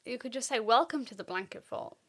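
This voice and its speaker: A woman co-hosting a podcast in casual chat, croaky from a cold, tired and laughing often at herself. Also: on the high side, lively intonation, a little fast, over loud music. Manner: laughs often, self-mocking. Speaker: podcast co-host.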